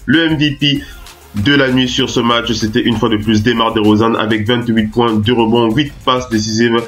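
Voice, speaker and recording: A French-accented man hosts a basketball talk show on web radio, voice congested with the flu. He stumbles through fillers and restarts, then surges into excited announcing. His pitch sits at an ordinary middle. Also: moderate pace, soft background music. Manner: hesitant, many filler sounds and restarts, hyped-up announcer bursts. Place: radio studio.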